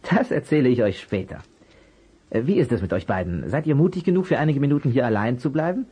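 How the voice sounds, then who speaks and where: Husky, lively detective, patient and clever. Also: male voice, medium pitch. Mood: calm, reassuring. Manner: measured, explanatory, patient. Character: calm, clever private detective, friendly with children. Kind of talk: German children's detective radio play acting.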